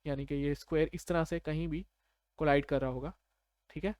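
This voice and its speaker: Pakistani guy tutor, kinda high voice, explains step by step, chatty, dry close sound. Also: fairly even intonation, fairly quick, darkish tone, smooth, no echo. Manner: conversational, checks understanding with short tag questions. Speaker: programming tutor.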